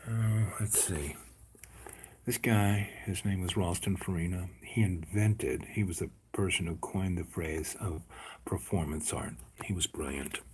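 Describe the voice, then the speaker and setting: Older man, seventy, low-pitched and rambling, trails off, faint hiss behind. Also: unhurried. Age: 70s. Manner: rambling, trails off quietly at ends of phrases. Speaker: local man in conversation. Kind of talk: casual chat.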